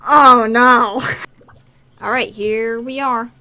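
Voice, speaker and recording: A woman with a bright, slightly high voice comments casually on a video game. She is offhand and chatty, dropping dry little quips and amusing herself as she plays. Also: steady tone, slow pace, poor audio. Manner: offhand, self-amused, dryly quipping.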